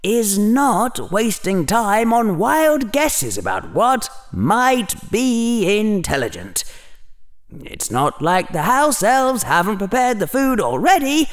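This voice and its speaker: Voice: mid-range voice, very animated, takes it slowly, pitched above the performer's own voice. A narrator voicing a sly, grimly persuasive inner voice of a boy, with mock-logical, theatrical delivery in a storybook reading. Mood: smug persuasion.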